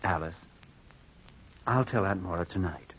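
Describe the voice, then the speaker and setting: A brooding young heir in an old radio melodrama. His lowish voice is husky, and he speaks in halting, theatrical phrases with a secretive air. The recording is dull and vintage. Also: a bit bright, exaggerated voice. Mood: defensive, pleading. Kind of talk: 1940s radio acting, confessional narration and dialogue.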